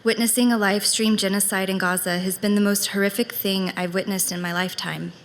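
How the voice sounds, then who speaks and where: A woman giving measured, prepared remarks of gratitude to a congregation. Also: mid-range voice, steady tone, in a large hall. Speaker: congregation member speaker. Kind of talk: prepared earnest speech. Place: congregation meeting hall.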